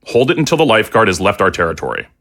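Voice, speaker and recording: A man's voice: on the low side, sing-song, very fast, a bit bright, full character voice. A man playing a suspicious, hawkish army general in an audio drama, sardonic and emphatic.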